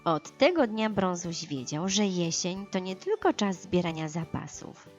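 Unhurried, very expressive woman storyteller. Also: fairly low pitch, highs cut off, faint music behind. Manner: expressive, unhurried, dramatized for children. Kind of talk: scripted children's audiobook narration.

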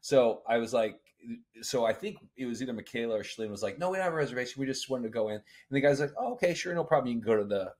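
A middle-aged man co-hosting a casual podcast, talking in an easygoing, relaxed way. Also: mid-range voice. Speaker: podcast co-host. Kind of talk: casual chat. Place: home studio. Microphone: studio mic on boom arm.

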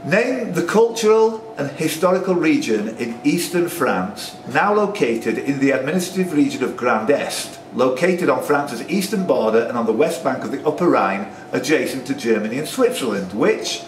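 A man's voice: mid-range voice, expressive, normal speed, hardly any pauses, recorded from a distance. A man, acting as quizmaster, reading questions and bantering with the players. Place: pub, indoors among a group of players. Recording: roomy pub recording, off-mic, faint noise.

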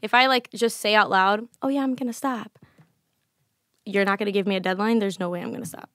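A woman in her twenties, a singer, talking candidly in a casual podcast interview. Her voice is slightly raspy, and she talks in an animated way and laughs often. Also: mid-range voice, fairly quick, no room sound, studio quality. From United States. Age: 20s. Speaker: guest singer. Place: small studio with colored lights and a table. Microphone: studio mic on a desk stand.

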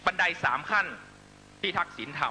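A man's voice: normal speed, background noise. A man delivering a fiery political speech to supporters, accusatory and emphatic, constantly pressing listeners with rhetorical questions. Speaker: political rally speaker.

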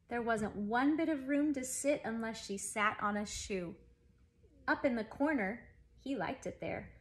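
A woman reads a picture book aloud to children in an animated, emphatic way. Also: on the high side. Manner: animated, emphatic phrasing for young listeners. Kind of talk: expressive children's story read-aloud. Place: living room at home.

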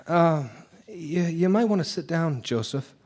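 Nervous, hesitant townsman played by a male actor, rough-edged husky voice, stammering delivery, audio drama. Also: a little high, animated, a little mellow. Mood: uneasy, sorrowful. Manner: stammering, halting. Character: nervous, hesitant small-town man, anxious to placate. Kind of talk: live audio drama acting.

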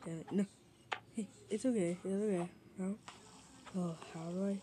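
A woman's voice: medium pitch, fairly even intonation, moderate pace. A woman improvising an amateur fan dub of a cartoon, repeating short exclamations over and over. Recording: noisy amateur recording with clicks.

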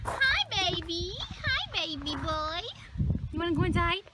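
A woman's voice: very high-pitched, very animated, unhurried, heavy background noise, pitched above the performer's own voice, heavily acted. A young woman cooing baby talk at her horse in a barn, filmed on her phone. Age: young adult. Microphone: phone held at arm's length.

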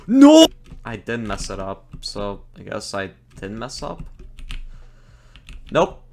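A young man casually commentating his own game stream, excitable, laughing often and groaning at his fails. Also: on the low side, takes it slowly, creaky. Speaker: gaming streamer.

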